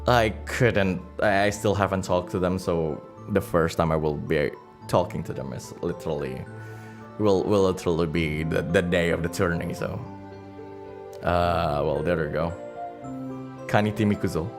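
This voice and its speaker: A man hosting a livestream in casual chat, halting and rambling as he searches for words, with as his language. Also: sits low. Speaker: livestream host. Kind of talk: casual livestream chat, reading donations and comments. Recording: music playing behind the voice. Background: background music.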